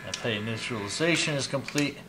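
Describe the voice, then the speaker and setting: A man in his fifties giving a casual, unhurried product demonstration, explaining each step informally as he goes. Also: mid-range voice. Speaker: product reviewer demonstrating gadgets on his channel. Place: small indoor hobby room at a desk. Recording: clear background noise, clicks of handled items.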